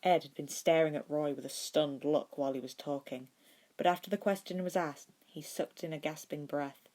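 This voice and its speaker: Woman reading fanfic aloud, pretty low voice. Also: steady tone, normal speed, a little mellow. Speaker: podfic narrator. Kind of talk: narration of written fan fiction.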